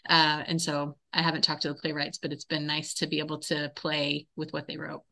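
A woman's voice: sits low, monotone, a little fast, vocal fry, pushed and bright. A woman who works as a theatre director, chatting casually as an interview guest.

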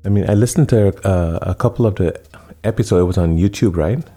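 A man hosting a talk show interview, speaking slowly and emphatically and repeating his phrases for effect. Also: deep voice, mellow, soft background music. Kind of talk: radio-style interview.

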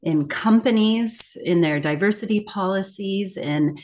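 A woman's voice: medium pitch, a little slow, a little mellow. A middle-aged woman speaking reflectively as an interview guest, earnest, articulate and emphatic in her delivery. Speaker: guest, communication coach and author.

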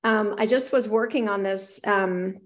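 A middle-aged woman hosting a live show, upbeat and encouraging, reading viewers' messages aloud, her voice slightly raspy. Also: medium pitch, fairly even intonation, a little slow. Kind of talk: live-streamed talk to viewers.

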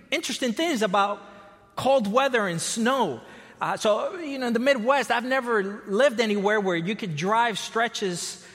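Costa Rica-born male preacher, high voice, repeats his starts, big echoing hall. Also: fairly bright tone. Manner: storytelling, repeats words when starting sentences. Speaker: pastor. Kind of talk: sermon.

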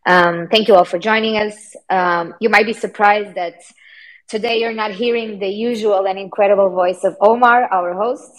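A woman's voice: mid-range voice, steady tone, normal speed, poor audio. A woman filling in as host of an informal community session, friendly and slightly hesitant, with many ums. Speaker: stand-in host, marketing head. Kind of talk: informal live session hosting.

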